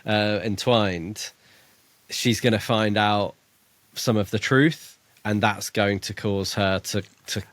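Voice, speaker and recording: A man's voice: fairly low pitch, fairly even intonation, slow, dry recording. A man co-hosting a podcast, musing about a book in a hesitant, thoughtful way. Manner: thoughtful, hesitant, trails off and restarts. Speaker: podcast co-host. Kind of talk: casual book discussion.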